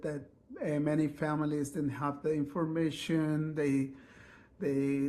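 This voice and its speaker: A man's voice: on the high side, a little mellow. Ecuador-born man, thoughtful interview voice. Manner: measured, with pauses and restarts. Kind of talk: documentary interview.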